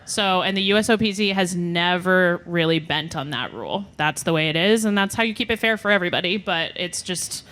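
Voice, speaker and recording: A woman's voice: fairly low pitch, expressive, brisk, few pauses, a bit bright. A woman co-hosting a live podcast, talking in an unscripted, explanatory and conversational way. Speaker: podcast co-host. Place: live show in front of an audience.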